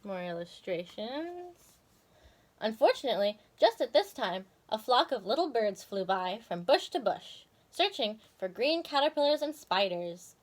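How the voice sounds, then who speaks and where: Animated, higher-voiced woman storyteller. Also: measured pace. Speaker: storyteller and video host. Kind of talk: expressive children's story reading with casual asides.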